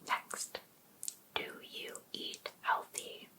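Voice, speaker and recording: A woman playing an overbearing, obsessive female life coach in an ASMR roleplay, softly asking attentive questions with sweet encouragement. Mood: attentive, encouraging. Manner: soft, unhurried, attentive questioning. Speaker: ASMR performer.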